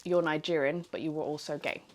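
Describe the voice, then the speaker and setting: A woman hosts a casual interview in a slightly low voice. She asks friendly, curious questions. While the guest talks, she drops in short agreements. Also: fairly even intonation, fairly quick. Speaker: interview host.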